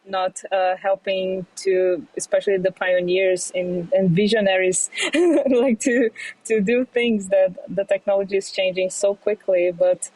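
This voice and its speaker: A young adult woman from Brazil with a Brazilian Portuguese accent, speaking English as a podcast guest, hesitant with many fillers and quick laughs. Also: mid-range voice, fairly even intonation, darkish tone, dry recording, poor audio. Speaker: fintech CEO guest. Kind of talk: podcast interview. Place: remote, not in studio.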